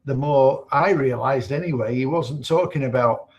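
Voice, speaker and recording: A man from Manchester, co-hosting a live stream and explaining in a relaxed way. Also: mid-range voice, normal speed, highs cut off. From Manchester, England. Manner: relaxed, explanatory, slight restarts. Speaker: co-host. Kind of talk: casual live-stream chat.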